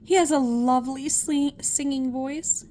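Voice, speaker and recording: Woman voicing a high, emphatic young girl. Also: steady tone, a little slow, mellow. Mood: earnest. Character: spirited young girl, caring, openly upset.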